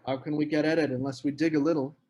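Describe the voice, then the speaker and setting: A man reading a charming, evasive young author at a table read, courteous and smooth. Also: on the high side, level tone, quick pace, darkish tone, lightly acted.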